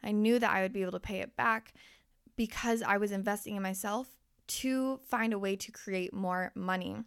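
Gravelly-voiced woman podcaster, losing her voice. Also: mid-range voice, fairly even intonation, moderate pace, a bit bright, dry recording, studio quality. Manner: candid, swears freely, speaks straight to listeners. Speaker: podcast host and life coach. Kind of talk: casual motivational podcast monologue.

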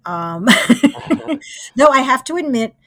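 A woman's voice: sits high, very animated, takes it slowly, no echo. A woman in her sixties reminiscing casually as an interview guest. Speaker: interviewee, radio station alumna.

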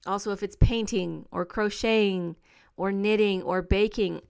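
A woman's voice: medium pitch, fairly even intonation, a little slow, vocal fry, darkish tone, clean tone. A woman hosting a solo podcast, talking to listeners in an earnest, upbeat, encouraging way, with plenty of fillers. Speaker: podcast host.

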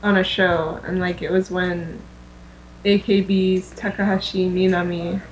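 A woman's voice: lowish voice, level tone, a little slow, poor audio. Informal woman chatting on a humming recording. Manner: informal, frequently says "like". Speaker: podcast co-host. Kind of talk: casual fan chat. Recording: faint noise, mains hum.

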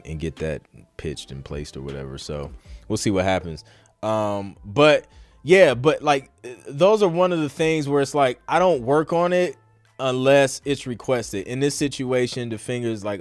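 Low-voiced man rambling casually over music. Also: no room sound. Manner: rambling, thinking aloud, frequent "like" and "you know". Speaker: podcast host. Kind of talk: casual live Q and A talk, reading and answering questions.

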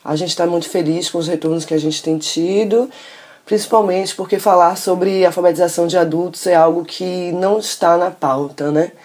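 Low, mellow-voiced woman filmmaker. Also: fairly even intonation, breathy edge. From Salvador, Bahia, Brazil. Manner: measured, tags sentences with questioning asides, thoughtful pauses. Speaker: filmmaker presenting her documentary. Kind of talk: informal spoken presentation.

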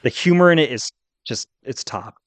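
A man's voice: medium pitch, animated, moderate pace, no room sound. A man, an informal and opinionated podcast co-host in casual chat. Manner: informal, opinionated fan talk. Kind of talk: casual geek-culture chat.

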